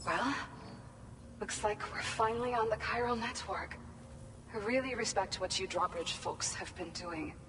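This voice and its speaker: A woman's voice: lowish voice, natural voice. A woman playing an earnest, grateful researcher in a game cutscene, talking in a heartfelt, chatty way. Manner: earnest, warm-hearted, talkative. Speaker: researcher at a facility. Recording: noisy.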